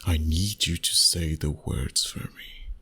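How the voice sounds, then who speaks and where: A man voicing a flirty, dominant vampire boss in an intimate, teasing ASMR roleplay, soft and breathy. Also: very deep voice, heavily acted. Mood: hungry, seductive. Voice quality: breathy, husky, often near a whisper. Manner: slow, soft, teasing seduction.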